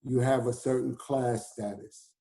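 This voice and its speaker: An American man in his fifties, a DJ and hip house artist, talking in a relaxed conversation and laughing easily. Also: mid-range voice, measured pace, gravelly. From United States. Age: 50s. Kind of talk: casual conversation.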